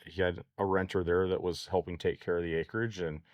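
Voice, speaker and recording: A man's voice: low voice, flat delivery, brisk, no echo, lo-fi sound. A man co-hosting a farm talk show, chatting folksily with repeated words. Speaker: co-host, auction company staff. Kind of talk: casual radio talk.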